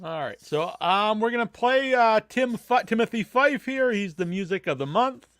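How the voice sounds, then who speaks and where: A male podcast host with a fairly high voice and very animated pitch. He chats casually with guests, and the sound is dry with little room to it. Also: normal speed, no room sound. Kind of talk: casual chat.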